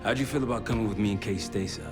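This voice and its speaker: An actor playing a gruff, weary American special operations team leader in a video game cutscene. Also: male voice, lowish voice, rapid-fire, over music, lightly acted. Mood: weary, low-key.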